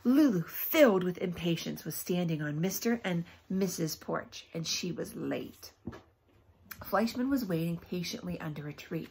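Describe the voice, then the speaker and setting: A woman teacher reads a children's book aloud expressively, pausing often for emphasis and to put questions to young listeners. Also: fairly low pitch, animated, gravelly, a little mellow, slight background noise, low-quality recording.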